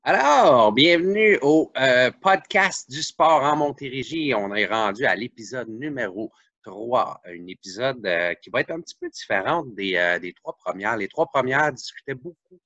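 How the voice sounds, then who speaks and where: A man's voice: medium pitch, expressive, normal speed, a bit bright, dry recording, dull audio. A man with a Québécois accent hosting a podcast, chatting casually and searching for words with frequent restarts. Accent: Québécois French. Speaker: podcast host. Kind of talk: casual interview chat.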